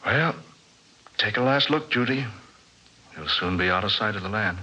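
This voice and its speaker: An actor playing an earnest young hero in a 1940s radio drama. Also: male voice, fairly low pitch, animated, normal speed, sharp tone, breathy edge, full character voice. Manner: earnest, urgent. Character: young man, earnest hero sailing away. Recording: dull old radio recording.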